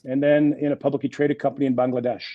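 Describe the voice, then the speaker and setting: A man's voice: mid-range voice, little pitch movement, fast, no room sound, phone-quality sound. A French Canadian man in his sixties, a native French speaker, speaking English in an interview with many fillers and restarts. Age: 60s. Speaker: guest executive. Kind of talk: interview conversation.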